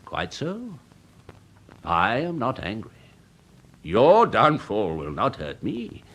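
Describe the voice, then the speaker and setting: Older English gentleman guardian, low-pitched, slightly husky, hugely animated intonation, pompous, urbane, insistent, period radio play. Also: slow pace, full character voice. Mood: wounded, lofty. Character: worldly, pompous older gentleman uncle and guardian, smoothly persuasive. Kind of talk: British radio period drama acting.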